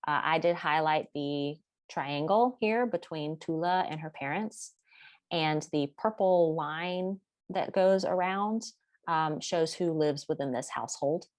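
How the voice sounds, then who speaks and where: A woman presenting a class project in a steady, explanatory way, partly read from notes. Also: sits low, unhurried, dry recording. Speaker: student presenter.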